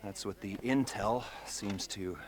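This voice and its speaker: An actor playing a cynical, secretive agent in a video game, briefing a crew in a blunt, conversational way. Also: male voice, lowish voice, takes it slowly. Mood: sardonic.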